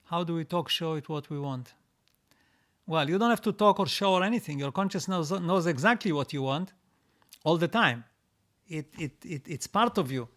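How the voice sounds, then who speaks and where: A man hosting a live stream, reading out viewer comments and answering them in a halting way, with many restarts and repeated words. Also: on the high side, animated, a bit bright. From Israel. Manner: reads out comments, then answers.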